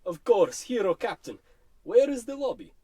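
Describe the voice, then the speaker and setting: A man's voice: sits high, very animated, moderate pace, mellow, breathy edge, no echo, heavily acted. An actor playing a solemn, polite old Russian grandfather with a Russian accent, telling his tale grandly in a radio comedy. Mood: grateful, hopeful.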